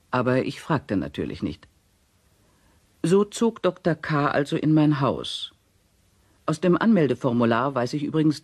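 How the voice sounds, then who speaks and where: A man reading a witness statement in a measured, formal, matter-of-fact way as radio play narration. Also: mid-range voice, no echo.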